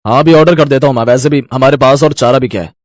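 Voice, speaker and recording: A man's voice: mid-range voice, fast talker, no room sound, studio quality, close to an everyday voice. A man voicing an exasperated, stern adult son and husband who scolds in a family story. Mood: resigned. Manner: fed up, scolding.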